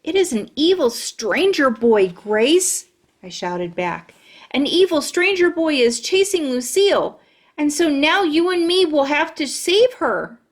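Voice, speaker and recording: A woman voicing a brash, chatty kindergarten girl who tells her own story in childish grammar. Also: mid-range voice. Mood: excited. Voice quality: childlike, bossy, ungrammatical storytelling. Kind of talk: audiobook narration.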